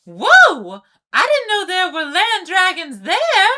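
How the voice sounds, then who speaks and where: A woman's voice: extremely high pitch, huge pitch swings, slow pace, bright, forceful tone, heavily acted. A woman voicing a bubbly, courteous young female sea pony in an amateur cartoon comic dub, with an eager, girlish delivery. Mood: curious, eager.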